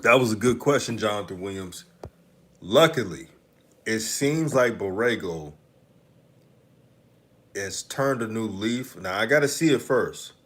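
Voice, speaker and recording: A man's voice: lowish voice, lively intonation, a little slow, pauses often, fairly bright tone. A man from Houston with a slight Texan accent, hosting a live stream, chatting loosely and laughing often while reading comments. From Houston, Texas. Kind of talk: casual live-stream talk, reading the chat.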